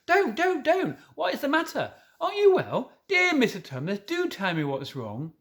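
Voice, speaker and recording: A man reading a storybook, voicing a kind, anxious young girl who stammers and pleads in a high voice. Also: big pitch swings, a little fast. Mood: distressed.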